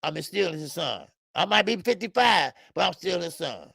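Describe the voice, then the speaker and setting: A man in his fifties hosting a live boxing talk stream, loud and profane, rambling and greeting viewers by name. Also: fairly high pitch, lively intonation, normal speed, vocal fry, no echo, clean studio sound. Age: 50s. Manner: frequent laughs and stretches of filler. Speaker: boxing show host. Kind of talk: live-stream commentary chat.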